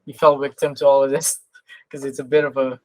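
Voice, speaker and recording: A woman's voice: extremely low pitch, level tone, a little fast, a little mellow, dry recording, rough recording. Casual woman podcast host, dry sound. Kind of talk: casual interview hosting.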